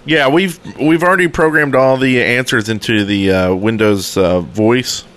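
A man's voice: mid-range voice, unhurried, a bit bright, slight background noise. A man co-hosting a casual gaming podcast, bantering in a joking, playful way. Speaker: podcast co-host.

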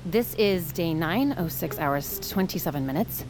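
A woman's voice: lowish voice, lively intonation, normal speed. An actress playing an earnest, anxious woman settler documenting a survival mission in a science fiction audio drama. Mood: earnest, composed.

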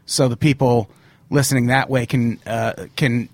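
A man hosting a live-streamed podcast in casual chat, swearing freely and running through rules quickly, his voice a little hoarse. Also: medium pitch, fairly even intonation, no echo. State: slightly hoarse. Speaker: podcast host.

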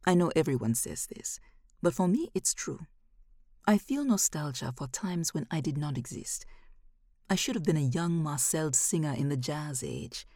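A woman narrating a first-person literary short story in a measured, expressive way. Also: deep voice, breathy edge, no echo, studio quality.

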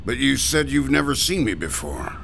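An actor playing a chatty, folksy gravestone carver who sighs about the heat, in classic radio drama style. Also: male voice, medium pitch, sing-song, normal speed, creaky, fairly bright tone, a little hiss or hum, full character voice. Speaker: stonecutter.

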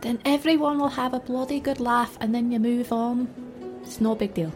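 A woman's voice: a little high, little pitch movement, normal speed, darkish tone, soft background music, natural voice. A woman playing a brash bard who hides her nerves behind blunt, casual bravado in an audio drama. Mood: brash, defensive. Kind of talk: acted fan fiction audio drama.

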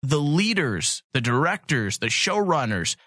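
A man's voice: on the high side, very animated, measured pace, pushed and bright, dry recording, studio quality. A man hosting a casual, opinionated podcast, talking bluntly and emphatically with some swearing. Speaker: podcast host.

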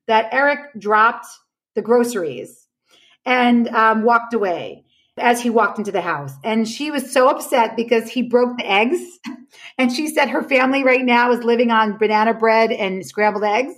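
A woman's voice: fairly high pitch, lively intonation, normal speed. A woman explaining her ideas conversationally as a podcast guest, telling anecdotes emphatically and acting out reported dialogue.

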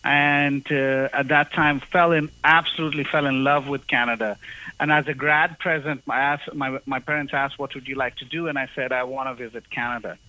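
A man's voice: mid-range voice, steady tone, moderate pace, bright, forceful tone, no room sound, poor audio. A man raised in Zambia, a university professor, talking through his story as an interview guest, with many fillers and restarts. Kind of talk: conversational interview.

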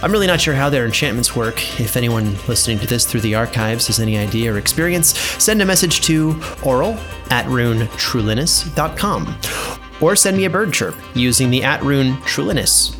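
An absent-minded male archivist, acted by a man muses in a medium-pitched voice. He is chatty and casual, humming as he thinks in a fantasy audio drama, with prominent music underneath. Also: lively intonation, a little fast, natural voice. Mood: musing, businesslike. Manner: musing, hesitant hums, casual. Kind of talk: in-character audio drama narration.

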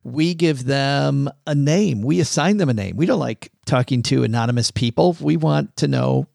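A man's voice: medium pitch, normal speed, gravelly, darkish tone, no echo, clean studio sound. A man co-hosting a podcast, chatting in a playful, teasing way. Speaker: podcast co-host. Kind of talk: casual chat.

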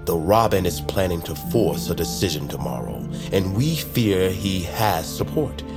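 Guy acting as conspiratorial assassin guild master, slow n theatrical, very swoopy delivery, fantasy audio drama, music behind. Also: low-pitched, expressive. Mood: grave, conspiratorial. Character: scheming bird-folk master of a secret assassin order. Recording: music under the voice.

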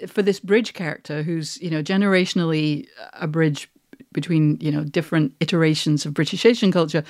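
A woman's voice: low voice, darkish tone, dry recording. Female podcast host, conversational interviewer, dry close recording with no room sound.

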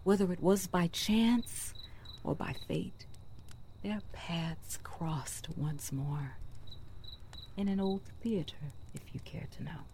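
A woman playing a solemn, wistful fairytale storyteller in an audio drama, narrating theatrically in a hushed, breathy voice. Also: lowish voice, slow pace, a little hiss or hum. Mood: hushed, ominous.

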